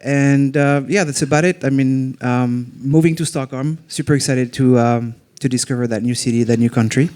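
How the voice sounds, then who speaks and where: A man from France with a thick French accent, speaking as a panelist at a live event. He is self-deprecating and speaks slowly on purpose. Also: mid-range voice, fairly even intonation, darkish tone. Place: members' club event room in front of an audience.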